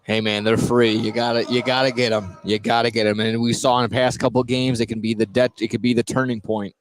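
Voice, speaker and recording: A man's voice: mid-range voice, little pitch movement, brisk, no room sound. A man giving informal, conversational color commentary on a live basketball game, often repeating his phrases.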